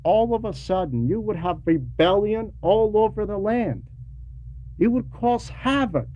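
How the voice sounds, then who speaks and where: A man giving a homily to a class in a deliberate, didactic way, pausing between short phrases and repeating words for emphasis, on a dull, lo-fi recording. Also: high-pitched, lively intonation, mellow. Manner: didactic, poses rhetorical questions. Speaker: religious teacher reading a translated homily to a class. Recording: dull lo-fi recording with faint noise.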